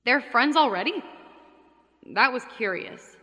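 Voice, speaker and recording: Jealous scheming wife, sardonic noir confession, sharp bright voice, big echoey reverb. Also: female voice, a little high, lively intonation, unhurried. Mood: jealous, suspicious. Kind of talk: audio drama narration and dialogue.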